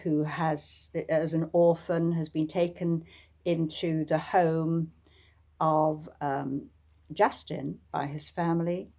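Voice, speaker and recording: A woman with a low-pitched voice presents her own fiction as its author. Her delivery is measured and deliberate, with frequent pauses, between informal talk and audiobook reading.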